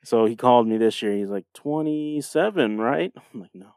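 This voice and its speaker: A man's voice: mid-range voice, lively intonation, moderate pace, a little mellow, clear, resonant tone, dry recording, studio quality. A man in his mid twenties co-hosting a podcast, laid-back with short replies in casual chat. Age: 20s. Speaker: podcast co-host.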